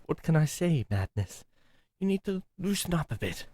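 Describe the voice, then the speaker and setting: A man's voice: fairly high pitch, big pitch swings, a little slow, background noise, pitched above the performer's own voice. A man putting on the voice of a playful, mocking servant in an audio drama. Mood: teasing. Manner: teasing, sing-song.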